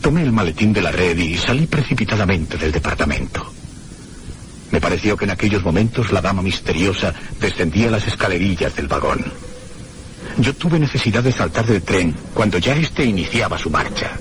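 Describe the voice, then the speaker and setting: An actor playing an uneasy, curious traveller in a suspense radio drama, speaking in a formal, literary way. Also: male voice, sits low, animated, fairly quick. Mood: startled, urgent. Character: uneasy, curious male traveller recounting a mysterious journey. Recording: radio drama with loud background noise.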